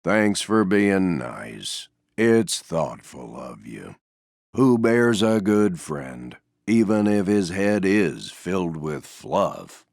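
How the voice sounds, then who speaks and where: A man voicing a gloomy, resigned, self-effacing stuffed donkey for a video game, with a mournful, deadpan, sighing delivery. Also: low voice, slow, no echo, studio quality, heavily acted. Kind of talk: video game character voice lines.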